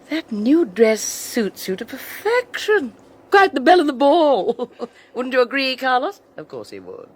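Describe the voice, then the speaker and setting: A woman's voice: high-pitched, sing-song, a little slow, exaggerated voice. An actress playing a domineering wealthy wife who teases and controls her husband, silky and condescending with small laughs, in a radio drama. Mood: gloating. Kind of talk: 1980s radio drama acting.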